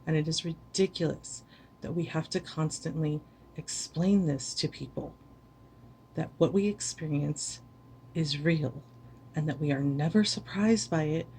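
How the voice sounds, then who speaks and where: A woman's voice: sits low, unhurried, warm tone, slight background noise, low-quality recording. A weary woman streamer giving an earnest, impassioned speech to camera, repeating words for stress. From United States.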